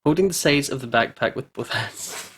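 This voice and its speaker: A man's voice: mid-range voice, animated, moderate pace, fairly bright tone, slightly rough. A man hosting a playful let's play, chatting and reading visual novel text aloud.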